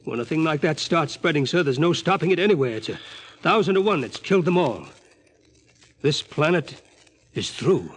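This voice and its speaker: Earnest, husky spaceman. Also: a little high, sing-song, moderate pace. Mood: grim, earnest. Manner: earnest, plain-spoken. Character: deferential male spaceman reporting grim findings to his captain. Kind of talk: 1950s radio drama acting.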